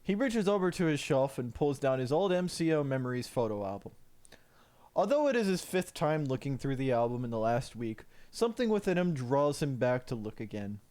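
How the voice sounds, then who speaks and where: A man's voice: mid-range voice, big pitch swings, brisk, darkish tone. Mock-solemn male story narrator. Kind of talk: scripted dramatic story narration.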